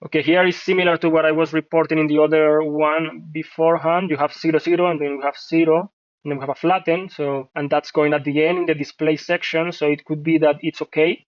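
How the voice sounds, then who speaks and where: A man from Colombia whose first language is Spanish, hosting a software tutorial and talking through a screen-share at a measured pace with frequent fillers. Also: fairly high pitch, dry recording, highs cut off. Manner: thinking aloud, measured, many fillers. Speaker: host reviewing software scripts.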